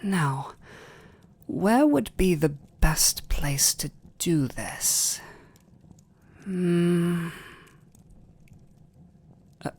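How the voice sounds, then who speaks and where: A tender, lovestruck vampire lord played by a man speaks with a British accent in an intimate ASMR roleplay. His voice is husky and breathy, often sinking near a whisper. He takes his time, choosing formal, aristocratic words with halting restraint, as if torn between hunger and devotion. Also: sits high, animated, a little mellow, heavily acted. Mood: yielding, hungry. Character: tender, conflicted male vampire lord in love.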